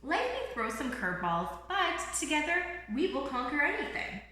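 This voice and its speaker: A very echoey room carries a woman playing a small storybook bird who guides children along. The voice is bright and very lively in pitch, bubbly and encouraging, with a reassuring manner. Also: moderate pace, exaggerated voice. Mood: calm, encouraging. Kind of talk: children's picture book read-aloud. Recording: roomy, echoing room sound.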